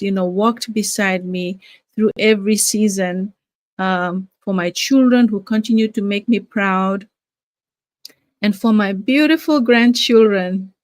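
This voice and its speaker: A woman's voice: mid-range voice, no room sound. An older woman from Fiji, a grandmother, hosting a casual live stream with many fillers and restarts. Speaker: live-stream host, nurse and business owner.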